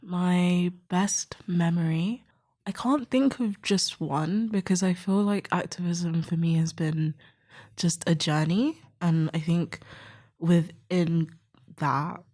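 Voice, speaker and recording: A woman in her twenties gives reflective answers as a youth activist. Her voice sits low and has a soft, relaxed tone. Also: slow pace. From Nigeria. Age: 20s. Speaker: youth activist, podcast co-host.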